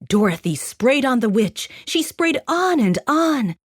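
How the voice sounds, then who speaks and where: A woman narrating a scripted rhyming children's story in an expressive, sing-song manner. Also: high voice, unhurried, breathy edge, no echo, clean studio sound.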